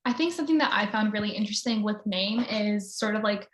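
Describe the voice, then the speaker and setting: Echoey recording, young woman, average pitch, earnest reflection, informal wording with many hedging fillers. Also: a little fast. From the American South. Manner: informal, frequent "like" and "sort of". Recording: echoey room sound.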